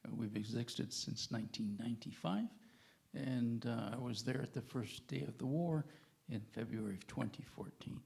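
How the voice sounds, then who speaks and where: A man's voice: mid-range voice, moderate pace, creaky, a little mellow. A man introducing himself as a foundation chair at a conference, firm and emphatic. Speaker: foundation chair, audience participant. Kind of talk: self-introduction. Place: large conference hall.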